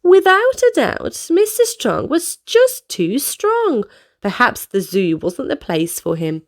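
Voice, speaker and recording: A woman's voice: high-pitched, wildly swooping pitch, takes it slowly. A woman reading storybooks aloud to children expressively, addressing young listeners with questions and exclamations.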